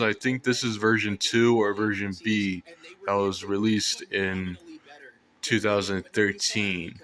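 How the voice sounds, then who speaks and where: An American man casually showing off a collection in a monotone, unhurried way, as a hobbyist presenter. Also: lowish voice. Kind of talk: casual show-and-tell of a collection.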